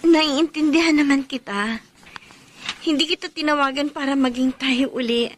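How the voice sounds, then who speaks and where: A woman's voice: sits high, moderate pace, slight background noise, natural voice. A woman playing an earnest young woman. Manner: earnest, turning frantic. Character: worried young woman warning a friend. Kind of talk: radio drama acting.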